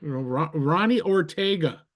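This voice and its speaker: Blunt New guy, slightly high voice. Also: animated, normal speed. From northern New Jersey. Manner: blunt, profane, wisecracking. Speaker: podcast guest. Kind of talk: casual podcast chat.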